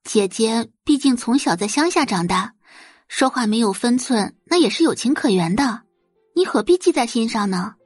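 A woman performs a young heiress in an audio drama. Her voice sits high and lilts gently, all polite consideration over quiet condescension. The sound is dry. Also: animated, moderate pace, breathy edge, dry recording, soft background music. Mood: soothing, coaxing. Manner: soft, gentle, considerate phrasing. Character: gentle-seeming young heiress, sweetly hypocritical and secretly scornful.

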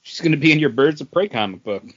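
A man's voice: on the high side, expressive, quick pace, husky, highs cut off. A man co-hosting a podcast in a casual, conversational style. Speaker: comics podcast co-host. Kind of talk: casual chat.

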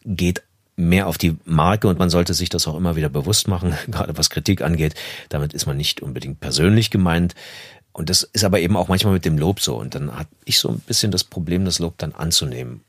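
Very deep-voiced man, husky and dry. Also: no room sound. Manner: modest, reflective. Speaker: interview guest, audiobook narrator. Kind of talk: casual conversational interview. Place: recording studio.

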